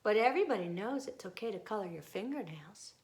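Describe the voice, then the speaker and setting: A woman's voice: medium pitch, lively intonation, fast talker, a little mellow, close to an everyday voice. A woman voicing an eager, pleading little girl in a storybook, with emphatic delivery. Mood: bored, defiant.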